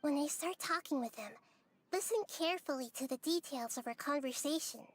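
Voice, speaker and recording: A tiny, helpful game guide, voiced by a woman, extremely high in pitch. She is chatty and earnest, explaining things like a little companion character. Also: fairly quick, lightly acted. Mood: earnest, informative. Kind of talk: video game character acting.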